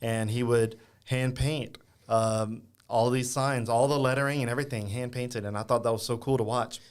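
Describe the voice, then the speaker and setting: A man's voice: mid-range voice, steady tone, moderate pace, clear, resonant tone. Easygoing man hosting a podcast. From Louisiana. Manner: easygoing, hesitant, many ums and uhs. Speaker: podcast host. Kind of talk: casual interview chat.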